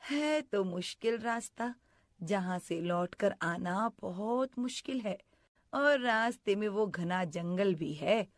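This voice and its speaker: Woman voicing a scheming, unhurried cartoon stepmother. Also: mid-range voice, no room sound, clean studio sound. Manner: scolding, plotting, falsely sweet. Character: scheming cruel stepmother who feigns sweetness. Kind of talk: animated story character acting.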